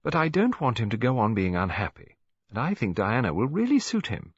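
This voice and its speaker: A man plays a kindly elderly English grandmother in an audiobook. She is chatty, fond and gently scheming, with a lilting, slightly husky delivery. The sound is dry and a bit dull. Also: medium pitch, big pitch swings, fairly quick, no echo, pitched above the performer's own voice. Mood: gleeful.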